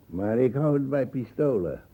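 An actor playing a suspicious, cantankerous old colonial uncle with a gravelly, husky voice in a radio drama. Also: male voice, mid-range voice, expressive, normal speed, narrow, tinny audio, close to an everyday voice. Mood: boastful. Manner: brooding, cantankerous.

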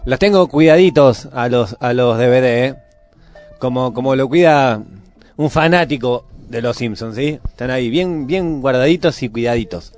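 A man's voice: medium pitch, moderate pace, darkish tone, faint music behind. A man talking casually on a radio show.

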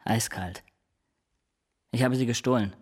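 An actor playing a devoted, dark, quiet killer henchman in a radio crime drama, answering tersely and obediently. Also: medium pitch, a little slow, slightly rough.

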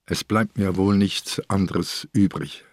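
An old, sick police commissioner held captive in a crime radio play. His voice is on the low side and weary, his answers short and dryly defiant. The recording is dry and intimate. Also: steady tone, slow pace, no room sound. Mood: exhausted. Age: older. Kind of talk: German radio crime drama acting.

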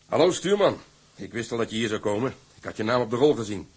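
A chummy ship's engineer in a radio play. His voice is husky and middling in pitch. He talks jovially, like an old shipmate. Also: animated, fast. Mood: chummy, teasing. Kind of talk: radio drama acting.

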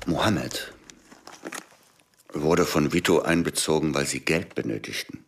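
Very deep, gravelly, brooding suspicious man. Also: measured pace, slight background noise, heavily acted. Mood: intense, explaining. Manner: brooding, broken phrasing, bursts of rhetorical questions. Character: agitated, suspicious man piecing together a cover-up. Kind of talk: German radio drama acting.

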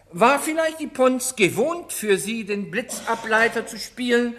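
A relentless prosecutor from a radio crime play, acted by a man. His voice sits high and swings widely as he probes and mocks the defendant. He sounds sharp and sarcastic. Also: full character voice. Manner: probing, sarcastic cross-examination. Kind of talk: German radio crime drama.

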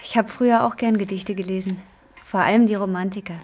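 A woman's voice: mid-range voice, fairly even intonation, fairly quick, a little mellow, a little hiss or hum, natural voice. An actress playing a young mother, polite and resolute under worry, in a naturalistic radio drama. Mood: soft, reflective. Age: young adult.

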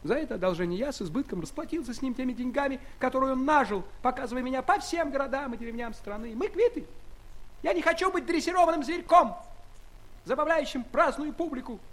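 An actor playing a tiny, proud and eloquent human traveller with a florid, earnest, courtly manner in a children's audio play. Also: male voice, extremely high pitch, expressive, fast talker. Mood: indignant pride.

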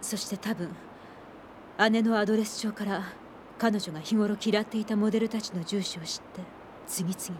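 An actress playing a composed female investigator in a radio drama, measured and solemn. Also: mid-range voice, a little mellow, slight background noise, lightly acted. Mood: somber. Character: composed, grave female investigator explaining a tragedy. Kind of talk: Japanese radio drama acting. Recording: long hall reverb.

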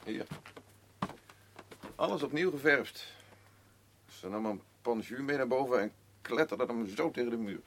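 An actor playing a gruff, defensive working-class father in grief, speaking blunt, halting, colloquial lines in a naturalistic radio drama. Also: medium pitch, expressive, fairly bright tone. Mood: gruff, matter-of-fact. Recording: clearly noisy radio play recording with ambience.

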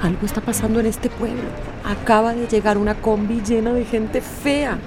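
Woman acting gossipy townswoman, very high pitch, bossy, scandalized, emphatic, radio drama, background music. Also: fairly even intonation, moderate pace, close to an everyday voice. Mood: gossipy, alarmed. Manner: emphatic, demanding. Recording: music behind the voice.

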